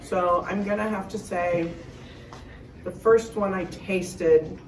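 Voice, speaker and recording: A woman judging casually, thinking aloud in a halting way. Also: lowish voice, fairly even intonation. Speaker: guest cookie judge. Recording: echoey room, distant mic, faint noise.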